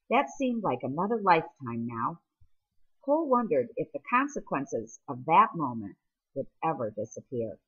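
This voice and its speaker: A woman reads a story aloud in a husky, slightly breathy voice. Her delivery is measured, phrase by phrase, with endings that fall away softly. The recording is somewhat dull and lo-fi. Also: deep voice. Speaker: audiobook reader. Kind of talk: scripted story narration, reading a novel chapter aloud.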